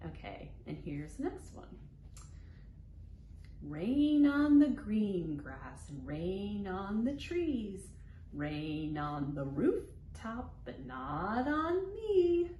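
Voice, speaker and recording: A woman reading a picture book aloud to young children as a storytime host, in an animated, sing-song way with deliberate phrasing and playful sound words. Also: medium pitch. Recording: roomy echo, faint background noise.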